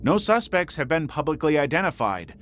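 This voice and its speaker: A man reading scripted true crime documentary narration in a measured, serious storytelling manner. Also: on the high side, very animated, fairly bright tone, slight background noise. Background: background music.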